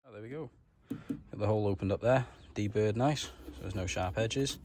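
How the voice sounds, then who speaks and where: A man's voice: lowish voice, normal speed, a little mellow. A man giving a casual, matter-of-fact hands-on car tutorial, explaining things step by step in informal words. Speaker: DIY car mechanic vlogger.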